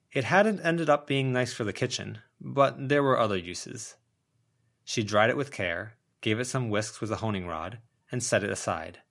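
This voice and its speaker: A man's voice: on the low side, a bit bright. A man reading a story aloud as a scripted audiobook narration.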